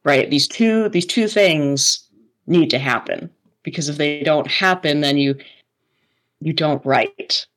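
Lower-voiced woman chatting on a podcast. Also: takes it slowly, fairly bright tone. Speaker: podcast co-host. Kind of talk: casual podcast chat.

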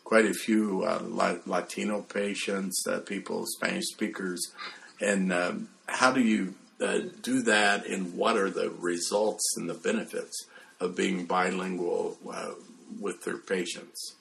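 A man interviewing a guest conversationally, phrasing his questions in a halting, deliberate way. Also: low-pitched, steady tone, creaky, a bit bright.

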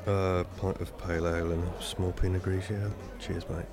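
Awkward, low-voiced British man. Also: little pitch movement, moderate pace, over music. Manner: blunt, clumsy joking. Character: awkward, tactless male first-date novice, foot in mouth. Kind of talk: audio drama acting.

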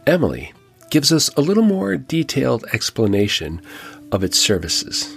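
A man's voice: mid-range voice, huge pitch swings, normal speed, creaky, faint music behind. A man hosting a church podcast, telling a guest's story in scripted narration with a reflective, lightly joking manner. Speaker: podcast host and church pastor.

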